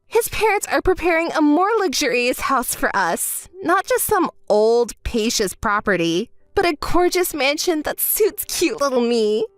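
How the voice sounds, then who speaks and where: A woman's voice: sits high, very animated, a little slow, hardly any pauses, no echo, clean studio sound. A woman voicing a spoiled, entitled young woman who whines and shrieks. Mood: demanding. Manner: whiny, demanding, shrieking. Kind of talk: dramatized story voice acting.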